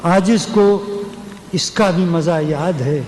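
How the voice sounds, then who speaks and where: An older Pakistani man delivering a humorous formal literary speech in a deadpan, measured way, with long pauses. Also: on the high side. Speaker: guest speaker at a university ceremony. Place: large hall at a ceremony. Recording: noisy, echoing hall public-address recording.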